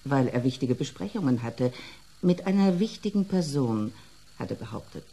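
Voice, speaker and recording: A reserved female therapist with a low, husky voice. She speaks formally and precisely, in measured, clipped radio drama phrasing. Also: expressive, dark tone, exaggerated voice. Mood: guarded, formal. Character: reserved, precise female psychotherapist with two doctorates.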